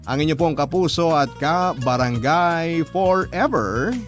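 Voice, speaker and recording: Filipino radio storyteller, man, fairly high voice. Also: lively intonation, a little slow, faint music behind. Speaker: radio show host and narrator. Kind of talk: reading a listener's letter, dramatic storytelling.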